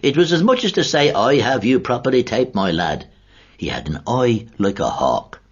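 An excitable middle-aged gentleman bursts out with an old memory, as a man puts him on. His husky voice leaps high and swings widely. The recording is dull and lo-fi. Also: moderate pace. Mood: animated. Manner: animated, exclaiming storyteller.

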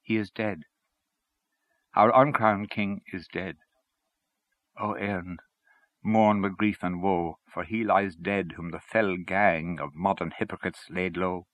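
A man voicing a solemn man who recites his own mourning poem in slow declamation, in a story reading. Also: deep voice, steady tone, a bit bright, dry recording. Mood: mournful, reverent. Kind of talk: poetry recitation.

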